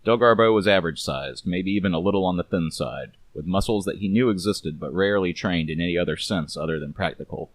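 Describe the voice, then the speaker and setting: A man's voice: low-pitched, fast, hardly any pauses, no echo. A man narrating a scripted noir detective story.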